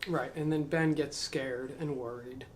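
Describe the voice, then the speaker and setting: A man reading a spiritual book aloud to camera. He adds slow, musing commentary, questions himself, and trails off into mumbles. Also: mid-range voice, steady tone, slight background noise.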